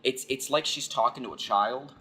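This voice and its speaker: A man giving casual, rambling philosophical commentary, halting and repeating phrases as he thinks aloud. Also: medium pitch, bright, forceful tone. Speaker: video essayist.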